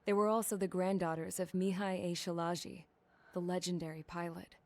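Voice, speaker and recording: A woman's voice: fairly low pitch, level tone, brisk, no room sound, natural voice. A woman playing a scrappy young mechanic in a game cutscene, plain-spoken, wry and matter-of-fact. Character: blunt, scrappy young woman mechanic telling war story. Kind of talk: scripted video game cutscene acting. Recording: clear background ambience noise.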